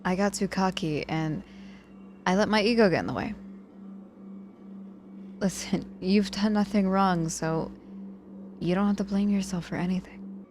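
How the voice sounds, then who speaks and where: A woman playing a cocky but softening injured witch mentor in an ASMR audio roleplay, dryly teasing, apologetic and protective with hesitant pauses. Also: mid-range voice, a little hiss or hum, close to an everyday voice. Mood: reassuring, protective.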